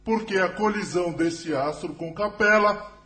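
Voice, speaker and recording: A man's voice: high-pitched, unhurried, over music. A man playing a grave alien scientist who addresses a council with solemn, formal oratory in an audio drama. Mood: urgent, warning. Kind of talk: spiritist audio drama acting.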